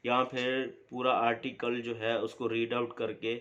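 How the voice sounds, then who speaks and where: A man giving a law lecture as an advocate, explaining step by step with many fillers and reading legal text aloud slowly. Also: mid-range voice, flat delivery, fairly bright tone, lo-fi sound.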